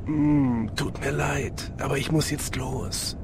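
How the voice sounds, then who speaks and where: An actor playing an easygoing, devoted police sergeant in an audio drama. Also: male voice, mid-range voice, steady tone, slow, background noise. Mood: tender.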